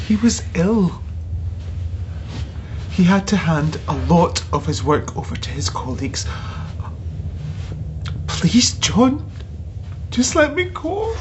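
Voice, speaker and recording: An actress playing a frightened captive, a loyal daughter, in a naturalistic audio drama, hushed, wary and pleading. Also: female voice, lowish voice, big pitch swings, slow pace, long pauses. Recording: dull muffled audio, faint noise.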